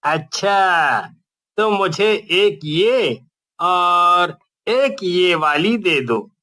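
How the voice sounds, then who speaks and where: A woman voicing a curious male customer at a street stall in storybook dialogue, with a casual, drawn-out way of asking. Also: lowish voice, animated, pushed and bright, heavily acted. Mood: interested.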